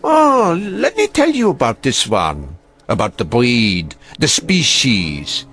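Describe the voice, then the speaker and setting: A man's voice: mid-range voice, huge pitch swings, takes it slowly, a bit bright, faint music behind, exaggerated voice. A scornful bitter old man. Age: older. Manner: scornful, cutting, mocking.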